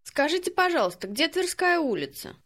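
A woman with a high voice reads model phrases for learners. She says each line slowly and deliberately, clearly pronouncing every word. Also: sing-song, a bit bright. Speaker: native speaker in language drills. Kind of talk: slow, careful model phrases.

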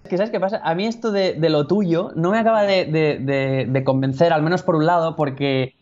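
A man's voice: fairly high pitch, a little fast, a little mellow. Spanish man, thoughtful and muffled. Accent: Spain Spanish. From Spain. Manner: thoughtful, many restarts, laughs easily. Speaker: public speaking coach. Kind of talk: casual interview chat. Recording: dull lo-fi sound.

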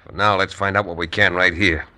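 An actor in a 1950s radio western playing a calm frontier lawyer who also narrates, measured and dry. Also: male voice, sits low. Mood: reasoned.

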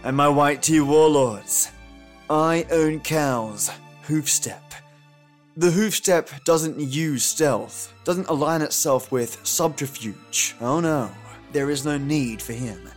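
A man's voice: a little high, slow. Theatrical man hosting over music. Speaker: podcast host. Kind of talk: scripted dramatic narration.

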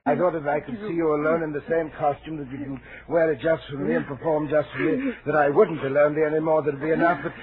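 An actor playing a lonely, obsessive admirer, intense and bitter, in a 1940s radio suspense drama. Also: male voice, fairly high pitch, steady tone, fast, few pauses, crowd noise, lightly acted. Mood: bitter, anguished. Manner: intense, swinging from hushed coaxing to bitter outbursts. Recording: narrow, scratchy 1940s radio recording.

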